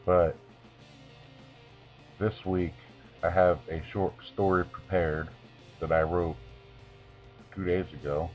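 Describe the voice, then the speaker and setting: A man with a low voice delivers his own short-story material in a deliberate, theatrical manner. The sound is dull and lo-fi, with faint guitar music playing behind him. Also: fairly even intonation. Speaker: video creator reading own short stories. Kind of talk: dramatic reading of a comic short story.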